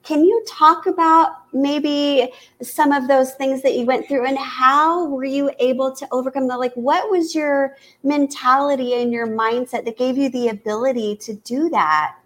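A woman's voice: high-pitched, unhurried, fairly bright tone. A woman from Kansas hosting a podcast, asking questions and responding in an enthusiastic, affirming way. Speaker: podcast host. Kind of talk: casual interview conversation.

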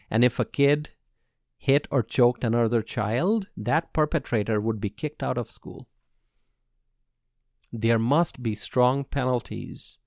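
A man from Bangladesh hosting a solo podcast, giving earnest, measured commentary on public policy and repeating his points for emphasis. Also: mid-range voice, creaky, a little mellow, no room sound, studio quality. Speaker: podcast host.

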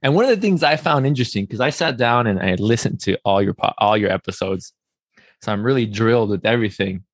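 A man's voice: fairly low pitch, lively intonation, fast, no room sound, clean studio sound. A man hosting a podcast in a casual, friendly, welcoming way, often repeating words and restarting as he goes. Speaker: podcast host. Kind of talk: casual conversational interview.

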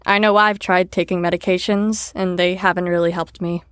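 A woman's voice: on the low side, level tone, normal speed, a bit bright, no room sound, studio quality, lightly acted. A woman in an audio drama playing an earnest, caring facility staffer who talks in a reassuring, sincere, slightly rambling way. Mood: earnest, encouraging.

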